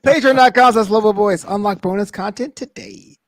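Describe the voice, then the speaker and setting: Male guest panelist, higher-pitched, conversational, recorded dry with no room sound. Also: brisk, no echo. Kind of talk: casual discussion.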